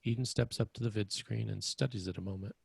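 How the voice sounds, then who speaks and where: A man's voice: fairly low pitch, steady tone, quick pace, vocal fry, darkish tone, breathy edge, dry recording, studio quality. A man reading screenplay stage directions as a narrator at a table read.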